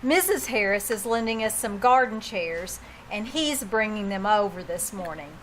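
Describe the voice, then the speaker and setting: A woman's voice: mid-range voice, expressive, moderate pace, a little hiss or hum, close to an everyday voice, rough recording. Woman as a patient, lilting radio sitcom wife. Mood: explaining calmly. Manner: polite, placating. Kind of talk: 1940s radio comedy acting. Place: live small theater stage.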